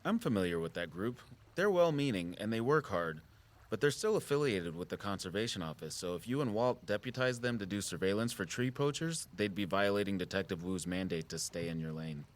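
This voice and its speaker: A gentle middle-aged nature conservationist in an audio drama, played by a male actor. His voice sits fairly low, and he speaks conversationally, with care and consideration. He comes across as a sentimental, thoughtful dad. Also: animated, brisk, natural voice. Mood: thoughtful, cautious.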